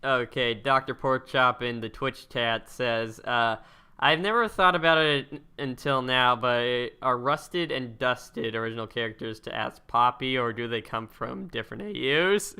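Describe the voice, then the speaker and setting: A man's voice: medium pitch, measured pace, bright, forceful tone, clear, resonant tone. A young man in his twenties hosting a casual podcast, rambling and laughing as he reads viewer questions. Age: 20s. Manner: rambling, laughs often, trails off and restarts. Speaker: podcast host. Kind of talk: casual chat reading live chat.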